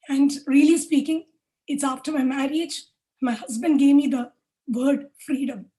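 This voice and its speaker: A woman's voice: sits high, dark tone, poor audio. A woman, raised in Kuwait, hosts the event and shares a personal story earnestly. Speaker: event host.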